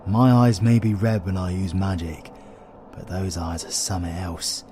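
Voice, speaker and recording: A man plays a sardonic British supervillain in an audio roleplay. His voice sits on the low side and he talks in blunt, cocky, sweary banter. There is faint noise behind him. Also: little pitch movement, normal speed, mellow, close to an everyday voice. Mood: awed, wary. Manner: blunt, sarcastic, colloquial banter.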